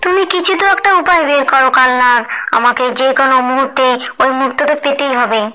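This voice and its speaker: A woman's voice: high voice, normal speed, pushed and bright, faint music behind, rough recording. A woman voicing a scheming, cruel stepmother creature in a cartoon story, resentful and whining in manner. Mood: demanding. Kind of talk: dramatized cartoon story acting.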